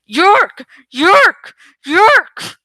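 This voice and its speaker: Storybook role, woman narrator: unhinged elderly female hoarder, lost and suspicious, high-pitched, deliberate delivery. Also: big pitch swings, pushed and bright, no echo, studio quality, full character voice. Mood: frenzied screaming. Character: crazed, lost old woman hoarding things in a shack. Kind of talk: audiobook character acting.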